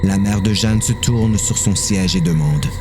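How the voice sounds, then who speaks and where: A Québécois man narrates a dramatized story from a script. His voice is low. Music runs clearly underneath the narration. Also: a little slow.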